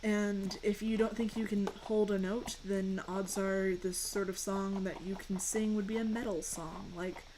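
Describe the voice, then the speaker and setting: A young woman with a fairly deep voice, chatting casually and rambling while she streams a game and answers questions from chat. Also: little pitch movement, a little slow, hardly any pauses, crowd noise, low-quality recording.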